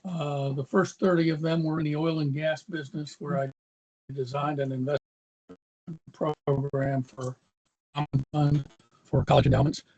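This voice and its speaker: A man introducing himself as an investor on a video call, measured and slightly hesitant. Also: on the high side, little pitch movement, creaky, warm tone. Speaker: investor panelist. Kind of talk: brief self-introduction. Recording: dull lo-fi video call audio.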